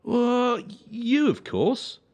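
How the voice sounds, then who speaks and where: An actor playing a cold, patronising gymnastics coach in a radio drama, officious and insinuating. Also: male voice, very high-pitched, very animated, extremely slow, a little mellow, heavily acted. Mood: falsely concerned.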